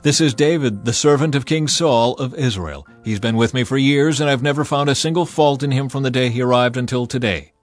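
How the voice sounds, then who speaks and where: A man's voice: medium pitch, fairly quick, few pauses, soft background music, close to an everyday voice. A man voicing a foreign king who speaks earnestly and apologetically. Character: anxious male foreign king, apologetic and fond.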